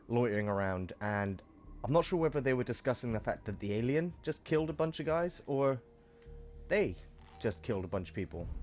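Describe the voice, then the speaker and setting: A man with a croaky voice from a cold, chatting through gameplay commentary with joking asides. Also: fairly low pitch, darkish tone, slight background noise. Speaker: let's play host.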